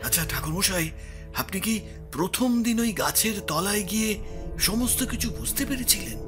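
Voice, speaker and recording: A curious village headman played by a man asks deferentially in a fairly high male voice. The audio drama has clear music behind him. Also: lively intonation, moderate pace. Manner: inquisitive, deferential. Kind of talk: audio story acting.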